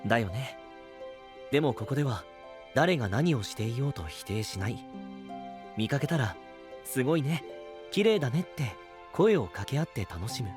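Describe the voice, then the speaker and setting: A male actor playing a gentle, responsible young knight in an audio drama, soft-spoken and earnest. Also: medium pitch, big pitch swings, normal speed, pauses often, music in the background, lightly acted. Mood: calm, reflective. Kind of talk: Japanese anime-style audio drama acting.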